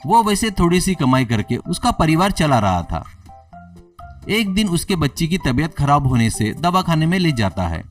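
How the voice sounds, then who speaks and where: A man's voice: fairly high pitch, big pitch swings, moderate pace, far from the mic, soft background music. A man narrating a scripted moral story as a storyteller.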